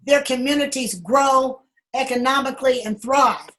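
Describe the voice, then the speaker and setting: A woman, a guest on a talk panel, speaking hesitantly with many pauses and ums. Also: high voice, steady tone, fairly bright tone, a little hiss or hum. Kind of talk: public affairs panel talk.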